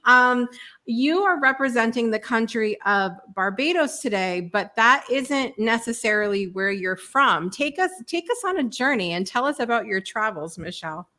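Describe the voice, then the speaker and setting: An enthusiastic woman from the United States hosts a talk show in a bright, sharp voice that sits a little high. She cheers her guest on and asks about her story. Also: expressive, moderate pace. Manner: enthusiastic and encouraging. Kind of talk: casual interview chat.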